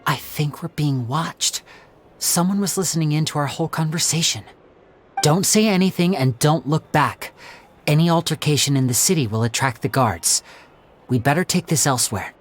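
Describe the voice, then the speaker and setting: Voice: medium pitch, normal speed, soft background music, close to an everyday voice. An actor voicing a poised young male stage magician with a polished, playful way of talking. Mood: wary. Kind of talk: video game dialogue.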